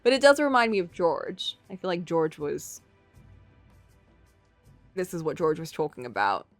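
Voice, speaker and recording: A woman's voice: lowish voice, big pitch swings, measured pace, dry recording, faint music behind. A woman casually livestreaming a visual novel, chatting and thinking aloud as she goes. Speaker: streamer playing a visual novel. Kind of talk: casual livestream chat and reading game text aloud.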